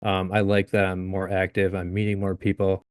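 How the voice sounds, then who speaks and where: Man in his late thirties, lowish voice, dry close sound, halting casual interview talk. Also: monotone, clean tone, no room sound. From Upstate New York. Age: 30s. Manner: halting, restarts often, laughs easily. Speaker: guest.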